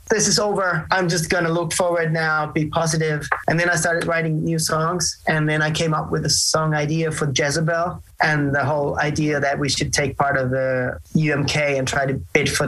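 A man's voice: on the high side, low-quality recording. Finnish guy from a band doing an interview in english, laid back, lots of uhs and restarts. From Finland. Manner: relaxed, reflective, frequent fillers and restarts. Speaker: band singer, interview guest. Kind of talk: casual interview answers.

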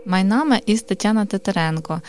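A woman in her thirties from Ukraine, reading out a personal statement in steady learner's German. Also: medium pitch, moderate pace, faint music behind. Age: 30s. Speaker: course participant.

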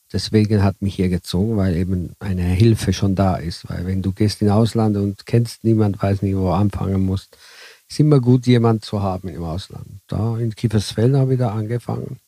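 A man from southern Italy speaking German with an Italian accent, telling his story haltingly as an interview guest. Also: fairly low pitch, fairly even intonation, warm tone, slightly rough, no room sound. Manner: halting, broken German with many fillers. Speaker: guest, bus driver. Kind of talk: casual interview chat.

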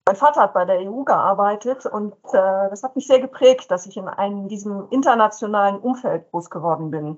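A woman from Belgium tells her story over a video call, her husky, breathy voice coming through a dull, lo-fi line. She speaks earnestly and at a measured pace, dropping in a few fillers. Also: medium pitch. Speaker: podcast guest. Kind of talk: telling her life story in an interview.

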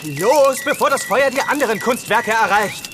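Woman as a lively, bossy young gang leader. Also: on the high side, normal speed, over music, natural voice. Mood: frantic commanding. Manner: commanding, exclamatory. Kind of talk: children's audio drama acting.

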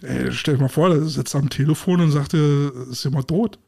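Ein Mann mit Berliner Akzent, Co-Host eines Podcasts, der im lockeren Gespräch lebhaft Anekdoten erzählt und Dialoge nachspielt. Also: male voice, fairly high pitch, normal speed, vocal fry. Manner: lively storyteller, acts out dialogue. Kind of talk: casual chat, anecdotes.

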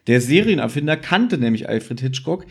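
A man's voice: medium pitch, expressive, moderate pace, creaky, husky. Man chatting as a podcast host. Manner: discursive, adds asides and tag questions. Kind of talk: casual podcast chat, explaining.

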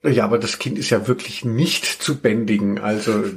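A man's voice: fairly low pitch, fairly even intonation, normal speed, slight background noise. A man chatting casually and humorously as a podcast host, with wry, joking asides. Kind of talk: casual humorous podcast chat about audio dramas.

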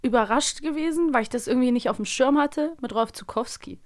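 A woman's voice: high-pitched, fairly quick. A woman co-hosting an audio-drama podcast, chatting casually and often ending her sentences with a questioning "ne?". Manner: chatty, lots of "ne?" and fillers. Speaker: podcast co-host.

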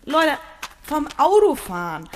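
A woman in her twenties, co-hosting a casual podcast and telling stories in a lively, giggly way, recorded on location at a mine. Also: high-pitched, slow, a little hiss or hum. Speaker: podcast co-host.

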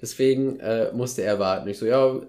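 A man's voice: medium pitch, normal speed, dark tone. A young man in casual, humorous podcast banter, talking in youthful slang with playful exaggeration. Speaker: podcast co-host.

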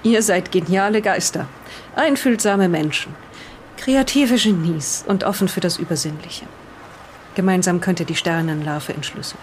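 A woman voicing a proud professor's grave letter to heirs, read solemnly and deliberately in an audio drama. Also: lowish voice, slight background noise, close to an everyday voice. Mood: solemn, grand.

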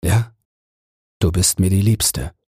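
A man voicing an affectionate young prince in a fairy tale audiobook, speaking in an earnest, tender, unhurried way. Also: low voice, darkish tone, slightly rough, no room sound, clean studio sound, full character voice. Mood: fond, earnest.

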